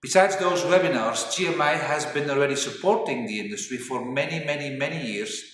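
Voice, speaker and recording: A man in his fifties from Belgium, a native Flemish speaker with a Flemish accent, presenting a corporate webinar in a deliberate, explanatory way. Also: fairly high pitch, fairly bright tone. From Belgium (Flanders). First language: Flemish Dutch. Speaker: webinar presenter, safety engineering trainer. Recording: very echoey reverberant room, distant mic.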